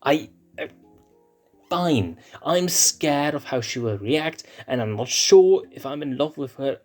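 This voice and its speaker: A man's voice: fairly high pitch, expressive, takes it slowly, darkish tone, soft background music, voice pushed up. A man voicing a shy young male lion cub prince who stammers and hesitates. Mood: flustered.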